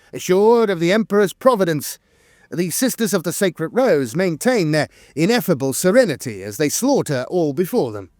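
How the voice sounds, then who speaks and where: A man with a slightly high voice narrates scripted lore in a formal, measured manner. His pitch moves in lively swings across phrases, with an occasional small hesitation. The sound is dry and close, with little room on it. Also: dry recording. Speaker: channel narrator.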